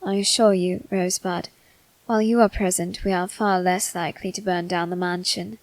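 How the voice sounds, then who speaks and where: A woman voicing a male demon servant in a scripted ASMR roleplay, speaking formally and courteously with soft, flirtatious teasing. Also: on the low side, steady tone, moderate pace, lightly acted. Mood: calm, wistful.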